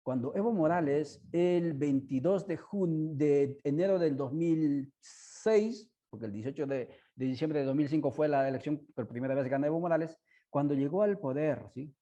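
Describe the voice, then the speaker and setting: Halting, mellow-voiced man hosting online. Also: on the high side. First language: not Spanish. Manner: halting, full of fillers and tag questions. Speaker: host of an online discussion. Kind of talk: informal spoken commentary to an online audience. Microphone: headset on a video call.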